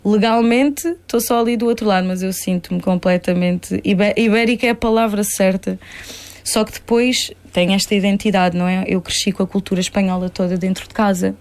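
Lively young woman singer chatting. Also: medium pitch, fairly quick. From Portugal (born there, raised in a Spanish-speaking home). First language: Spanish. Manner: animated, laughs often, mixes in English fillers. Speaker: singer-songwriter guest. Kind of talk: casual interview chat.

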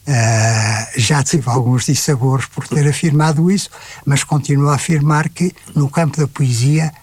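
A man's voice: mid-range voice, steady tone, unhurried, darkish tone, breathy edge, no echo. An older man with a European Portuguese accent, a writer speaking reflectively in an interview, with frequent hesitations. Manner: reflective, hesitant with many fillers. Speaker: guest, writer and anthologist. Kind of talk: conversational interview.

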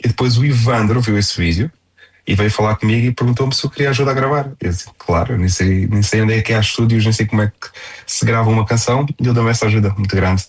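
A man's voice: lowish voice, quick pace. A young man with a European Portuguese accent, chatting casually as a singer-songwriter in an interview.

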